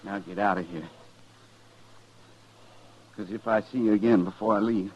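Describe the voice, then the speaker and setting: A man's voice: on the low side, steady tone, moderate pace, natural voice. An actor playing a grim, determined Old West man out for revenge, terse and threatening, with an American accent in a 1950s radio western. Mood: fierce. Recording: dull, noisy vintage radio recording.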